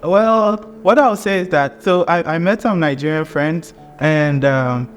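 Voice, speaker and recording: A man from Ghana with a fairly high voice, answering questions as a guest. He sounds easygoing, fills his speech with "like" and likes to act out what other people say. Faint music runs underneath. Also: a little slow. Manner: relaxed, laughs, uses "like" a lot, acts out little quotes. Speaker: graduate student guest. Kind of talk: casual interview chat.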